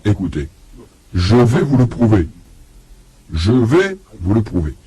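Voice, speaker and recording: A man's voice: fairly low pitch, very animated, measured pace, mellow, slightly rough, no room sound. A man delivering a religious lecture to an audience, telling a story and acting out both sides of a conversation, often putting questions to his listeners. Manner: addresses the audience directly with questions.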